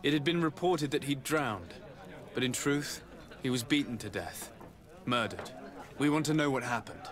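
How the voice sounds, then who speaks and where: A man playing a composed, courteous noble diplomat in a video game, speaking in a measured, formal way. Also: mid-range voice, lively intonation, a crowd in the background, lightly acted. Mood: grave. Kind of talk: video game cutscene acting.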